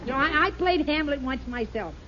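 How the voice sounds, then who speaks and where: A stammering, flustered husband. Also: male voice, very high-pitched, animated, moderate pace, dull audio, slight background noise, full character voice. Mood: joking. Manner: stammering, sputtering. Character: flustered, bumbling family man, easily rattled. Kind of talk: 1950 radio sitcom acting. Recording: old radio broadcast with loud audience laughter.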